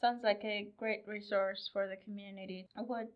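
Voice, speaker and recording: A woman's voice: medium pitch, fairly even intonation, moderate pace. A woman co-hosting a podcast, asking questions in casual conversational interview style. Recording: slightly dull, lo-fi sound.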